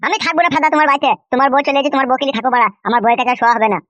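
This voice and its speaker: A man's voice: very high-pitched, very fast, a bit bright, no room sound, dull audio. A man voicing a defiant young nephew in a comic cartoon, crude and argumentative. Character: defiant, whiny young nephew with runaway wife.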